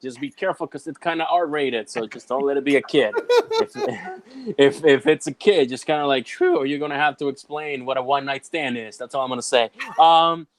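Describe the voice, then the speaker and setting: A man from Ecuador, guest on a casual comics chat, upbeat and animated in how he talks. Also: mid-range voice, normal speed. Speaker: guest co-host.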